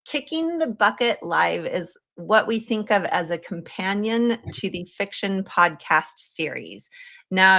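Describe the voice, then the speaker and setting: A woman's voice: mid-range voice, a bit bright. A woman hosting a livestream interview in an enthusiastic, chatty manner. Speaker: podcast host.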